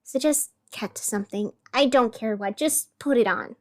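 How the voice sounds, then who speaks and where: An actress playing a wry, wounded young radio host in a scripted audio drama, sardonic and theatrical with deliberate pauses. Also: female voice, on the high side, a little mellow, lightly acted. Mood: tense, curt. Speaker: fictional radio show host.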